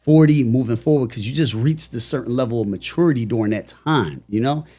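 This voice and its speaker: A man's voice: mid-range voice, brisk, warm tone. Philly guy in his 40s chatting on a podcast, mid-range voice, slangy and emphatic, tells stories. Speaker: podcast guest. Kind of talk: casual conversational interview.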